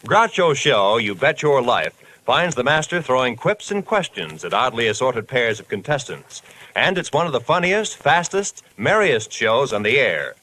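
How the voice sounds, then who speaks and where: A man's voice: mid-range voice, very animated, normal speed, pushed and bright. A man announcing programmes in the polished style of mid-century network radio. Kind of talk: 1950s radio announcing and promo read. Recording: noisy vintage radio recording.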